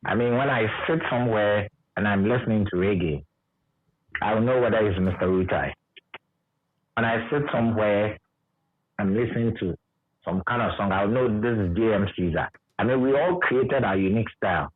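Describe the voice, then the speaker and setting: A man's voice: on the low side, moderate pace. A Ghanaian man, a radio presenter, reminiscing in conversation and repeating his points for emphasis. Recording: muffled, narrow phone-like line.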